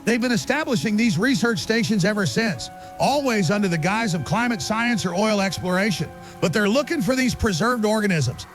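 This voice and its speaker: A man's voice: sits high, expressive, normal speed, soft background music. A man acting an earnest, insistent conspiracy researcher being interviewed as a guest in an audio drama.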